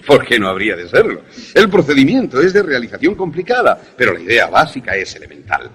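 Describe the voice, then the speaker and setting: A man acting an enthusiastic professor in a radio drama, lecturing in a deliberate, didactic, theatrical way. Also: medium pitch, wildly swooping pitch, a bit bright, slightly rough, heavily acted. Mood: pleased, explanatory. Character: enthusiastic male professor demonstrating an invention to students.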